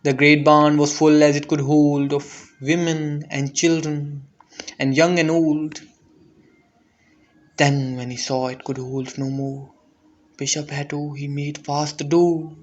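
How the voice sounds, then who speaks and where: A man giving a dramatic, deliberate recital of a narrative poem, with theatrical intensity. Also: fairly high pitch, little pitch movement, dull audio. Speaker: poetry reader.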